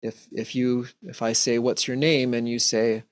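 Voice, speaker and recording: A middle-aged American man giving an explanatory, conversational talk in a measured, deliberate way with reflective pauses. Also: mid-range voice, level tone, no room sound. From United States. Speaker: religious speaker giving an online talk. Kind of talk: teaching presentation, explanatory and conversational.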